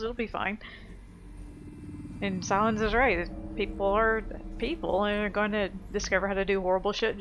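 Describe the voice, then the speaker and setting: A woman's voice: mid-range voice, dull audio. Blunt woman gamer over faint noise. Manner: blunt, sweary, opinionated. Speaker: gaming video host. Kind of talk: casual, unscripted let's play commentary.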